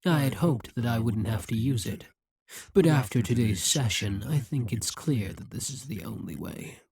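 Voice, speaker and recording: A man's voice: medium pitch, lively intonation, normal speed, vocal fry, darkish tone, breathy edge, dry recording. A man voicing a possessive, scheming male demon king in an audio roleplay, talking in a coldly menacing, coaxing way. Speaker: voice actor.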